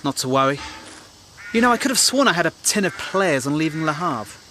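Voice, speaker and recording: A higher-voiced, wry English war correspondent. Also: male voice, big pitch swings, fairly quick, bright, forceful tone, a little hiss or hum. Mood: weary. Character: earnest, probing British war journalist, wry and polite. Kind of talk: period audio drama acting.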